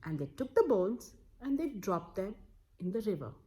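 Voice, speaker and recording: Animated woman telling a folktale. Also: medium pitch, moderate pace. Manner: dramatic, repeats words for emphasis. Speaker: storyteller and host.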